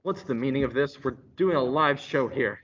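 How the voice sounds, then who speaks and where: A man's voice: normal speed, a bit bright, lightly acted. A young man playing a pompous, unflappable live radio announcer with a grandiose, declamatory delivery. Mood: put-upon. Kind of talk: old-time radio announcer parody. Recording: dull, noisy recording with rumble.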